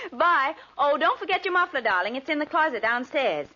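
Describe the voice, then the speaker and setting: An actress playing a doting, chirpy wife in 1940s radio drama style, on a muffled old recording. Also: female voice, high-pitched, sing-song, moderate pace, sharp tone, full character voice. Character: doting, chirpy young wife fussing over her husband.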